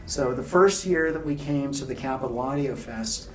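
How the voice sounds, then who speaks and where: A man being interviewed at a trade show, explaining technical details in a measured, informal way. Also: mid-range voice, distant mic, faint music behind. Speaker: loudspeaker company founder being interviewed. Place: exhibit room at an audio show. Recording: off-mic, noisy exhibit room.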